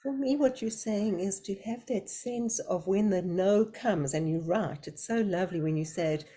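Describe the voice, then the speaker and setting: A woman facilitating an online group discussion, speaking gently and thoughtfully over a video call. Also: medium pitch, normal speed, breathy edge.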